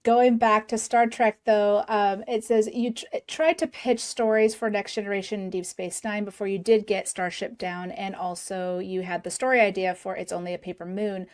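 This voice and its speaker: A woman's voice: medium pitch, little pitch movement, moderate pace, few pauses. A woman hosting a podcast, asking her guest curious, friendly questions. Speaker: podcast host. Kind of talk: interview questions.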